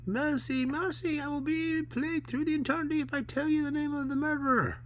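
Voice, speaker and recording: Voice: high-pitched, fairly even intonation, brisk, fairly bright tone, a little hiss or hum, pitched above the performer's own voice. A reader voicing a cringing, whimpering ghost that begs for mercy in a comic book story. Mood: pleading, terrified. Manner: whimpering, pleading.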